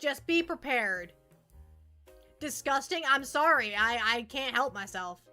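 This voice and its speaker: A woman's voice: high voice, lively intonation, a little slow, bright, forceful tone, soft background music. A woman chatting casually to viewers as a livestream host, rambling playfully and often repeating her phrases.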